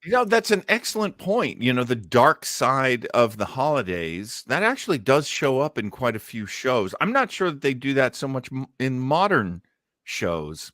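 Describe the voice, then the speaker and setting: A man's voice: medium pitch, fairly bright tone, no room sound, studio quality. A man hosting a show in casual, chatty commentary. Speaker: host of an old-time radio show podcast.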